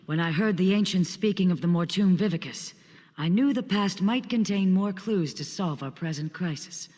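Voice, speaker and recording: Courteous fantasy game scholar, higher-pitched man, formal theatrical phrasing, long hall reverb. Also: normal speed, darkish tone. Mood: solemn. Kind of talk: video game character dialogue.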